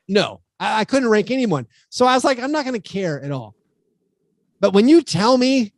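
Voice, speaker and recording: A man with a notably high voice co-hosts a casual basketball podcast. He talks in animated bursts full of restarts and mock outrage. The sound is close and dry, with no room around it. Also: no echo. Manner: animated, lots of quick restarts and joking outrage. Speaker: podcast co-host. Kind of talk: casual sports chat. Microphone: studio podcast mic.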